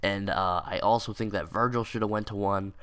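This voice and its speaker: A man's voice: lowish voice, steady tone, fairly quick, fairly bright tone, smooth. A young man with a stuffy nose from a cold, giving rambling casual commentary with lots of "like" and "um". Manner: rambling, many "like" and "um" fillers. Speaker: card game video creator.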